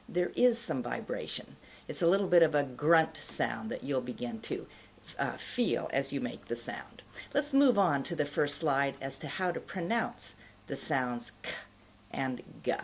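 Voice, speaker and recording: A middle-aged woman giving an instructional pronunciation lesson, explaining in a deliberate, carefully enunciated way. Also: deep voice, breathy edge. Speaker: pronunciation teacher. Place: indoor studio, plain backdrop.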